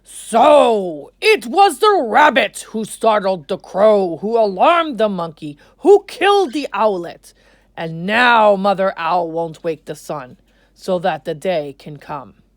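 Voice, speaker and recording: A commanding lion king from a storybook, acted out by a woman. The delivery is loud, grave and regal, with slow, deliberate proclamations and wide swings of pitch. The sound is close and dry. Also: sing-song, no room sound. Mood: proclaiming.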